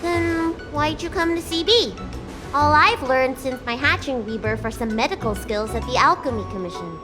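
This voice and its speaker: A woman's voice: high-pitched, lively intonation, normal speed. A woman voicing a small, blunt and curious young dragon girl in a video game, childlike and frank. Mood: puzzled, frank. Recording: game music behind the voice.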